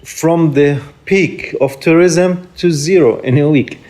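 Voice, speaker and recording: A man's voice: on the high side, takes it slowly, a little mellow. A man in his forties from Jerusalem with an Arabic accent, speaking English as a second language and earnestly explaining his life as a tour guide in an interview. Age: 40s. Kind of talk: conversational interview answers.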